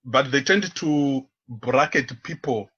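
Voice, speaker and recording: Husky-voiced man, halting speech. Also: medium pitch, a bit bright, highs cut off. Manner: halting, searching, repeats words as they go. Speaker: interview guest, community volunteer and activist. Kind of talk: unscripted radio interview talk.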